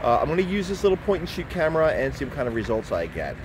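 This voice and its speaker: A middle-aged man talking to a handheld camera at arm's length as he walks a city street, in a relaxed, rambling way. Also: on the high side, racing. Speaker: photography vlogger. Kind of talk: casual walking vlog. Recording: outdoor street noise.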